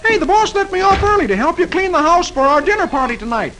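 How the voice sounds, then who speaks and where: A man voicing a weary, excitable comic-strip husband with exaggerated groans and exclamations, in a children's radio funnies style. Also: very high-pitched, fast talker, background noise, voice pushed up. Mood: eager.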